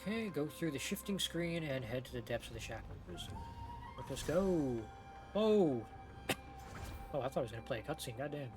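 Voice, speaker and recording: A man's voice: a little high, expressive, moderate pace. A man doing casual game playthrough commentary, thinking aloud in an informal, sweary way and reading on-screen text. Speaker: game streamer. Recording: game music behind the voice. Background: video game music.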